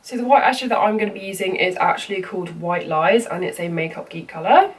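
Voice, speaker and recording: A woman's voice: medium pitch, fast talker. A young woman with a cold, sounding bunged up as she chats her way through a makeup tutorial with plenty of ums and you-knows. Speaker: makeup vlogger. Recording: slightly distant mic with faint room noise.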